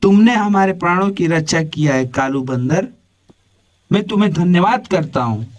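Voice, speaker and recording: Man acting as boastful cartoon lion, higher-pitched, commanding, proud, quick-tempered. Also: lively intonation. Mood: grateful. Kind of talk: animated moral story dialogue.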